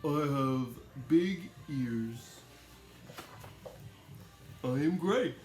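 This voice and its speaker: Man acting plaintive elephant, high and slow. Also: lively intonation, darkish tone, echoey room, faint music behind. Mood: sad, apologetic. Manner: plaintive, deliberate short phrases. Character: sad, earnest male elephant, anxious and self-doubting. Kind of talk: children's picture book read-aloud.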